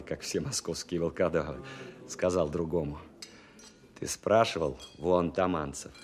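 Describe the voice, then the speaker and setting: A thoughtful wartime officer as narrator of a radio play. His voice is deep, and he tells the story in a measured, first-person manner. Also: darkish tone.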